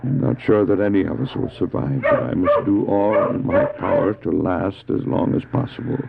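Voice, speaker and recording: A man's voice: lowish voice, expressive, gravelly, phone-quality sound, very noisy. A man acting a stranded, devout doctor and dog sledder in an audio drama, earnest and theatrical, anguished but resolute, on an old cassette recording. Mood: anguished, reluctant. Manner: earnest, theatrical, halting when speaking in character. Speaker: narrator and lead actor. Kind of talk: dramatized first-person adventure narration, audio drama.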